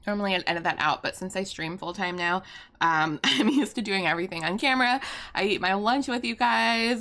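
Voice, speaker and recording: Bright, bubbly young woman rambling along. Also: medium pitch. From United States. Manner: bubbly, chatty, rambling with fillers. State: slightly hoarse. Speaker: YouTuber and streamer. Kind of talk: casual chat over a building video. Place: home room with pastel backdrop and fairy lights.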